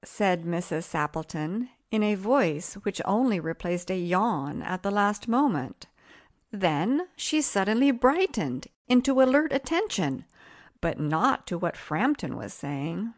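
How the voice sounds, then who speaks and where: A woman reading a literary story as an audiobook narrator, measured and expressive. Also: medium pitch.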